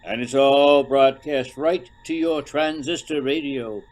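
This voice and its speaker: An actor playing a theatrical announcer of an old-time eerie radio show, with a dramatic, suggestive delivery. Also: male voice, mid-range voice, steady tone, measured pace, a little mellow, lightly acted. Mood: ominous, theatrical.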